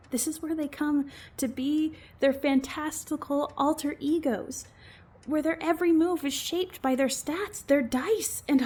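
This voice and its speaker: A woman acting an earnest, wounded female game master in an audio drama, speaking with deliberate, emotive delivery. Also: sits high, a little mellow, slightly rough, full character voice. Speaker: voice actor in an amateur audio drama.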